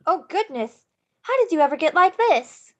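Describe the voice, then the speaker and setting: A woman's voice: very high voice, sing-song, moderate pace, a bit bright, heavily acted. A woman reading the part of a kind, eager, concerned young girl at a table read, full of encouraging exclamations. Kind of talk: live table read of a film script. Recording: slightly dull microphone sound.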